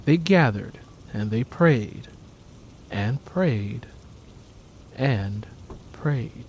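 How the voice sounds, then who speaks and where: A man's voice: medium pitch, lively intonation, drawn-out words, darkish tone, slight background noise, rough recording. A man with an American accent reading dramatic scripted audiobook narration. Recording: rain and music behind the voice.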